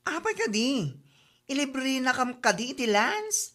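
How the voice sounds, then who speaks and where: A woman's voice: high-pitched, big pitch swings, measured pace, fairly bright tone, full character voice. A woman playing a gossipy, mocking coworker in a comedy drama, with an exaggerated Ilocano Filipino accent. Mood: teasing.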